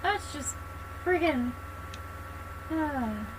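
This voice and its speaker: A woman's voice: high voice, lively intonation, drawn-out words, noisy background. A woman game streamer giving casual, chatty commentary on a playthrough. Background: game music.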